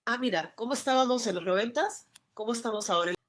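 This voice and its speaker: Rambling Peruvian actress from Lima. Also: female voice, fairly high pitch, fast. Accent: Lima Peruvian Spanish. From Peru. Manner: rambling, many restarts and fillers. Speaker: actress guest. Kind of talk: casual interview chat.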